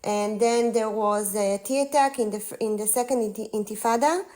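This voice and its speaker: Earnest Israeli woman, halting speech. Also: on the high side, darkish tone. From northern Israel. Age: 40s. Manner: earnest, halting, many fillers. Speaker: guest on a livestream. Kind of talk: casual conversation.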